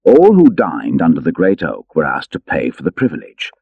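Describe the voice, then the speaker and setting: A man reading an adventure story as an audiobook narrator, in an expressive, theatrical storytelling manner. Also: very deep voice, moderate pace, breathy edge, dry recording, phone-quality sound.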